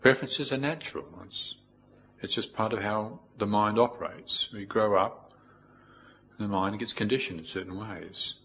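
A middle-aged man with a New Zealand accent giving an informal, reflective Buddhist talk in a measured way, with pauses. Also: lowish voice, a bit bright, a little hiss or hum, rough recording. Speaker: Buddhist monk, teacher. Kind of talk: informal spoken dharma talk with personal anecdotes.

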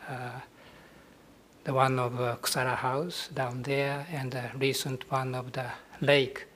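A middle-aged man from Japan with a Japanese accent, a Buddhist monk giving a dharma talk at a ceremony in a soft, husky voice, pausing often and hesitating over his words. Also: medium pitch, little pitch movement. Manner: soft-spoken, hesitant, with many pauses and fillers.

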